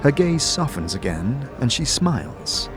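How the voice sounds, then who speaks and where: Man, narrator, dramatic fantasy storytelling, measured delivery, middle-pitched voice, music in the background. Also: sing-song. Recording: music behind the voice.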